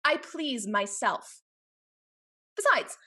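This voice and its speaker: An actress playing a capricious, teasing young society heiress in a Restoration stage comedy, with a haughty, playful, theatrical delivery. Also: female voice, high-pitched, big pitch swings, slow pace, bright, forceful tone, exaggerated voice.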